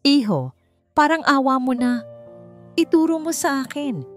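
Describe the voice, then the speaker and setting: Storybook role: woman as emotional rich man, urgent pleading, raised pitch. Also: sing-song, a little slow, soft background music. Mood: desperate pleading. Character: desperate, emotional rich man searching for his mother. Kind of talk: audio storybook dialogue.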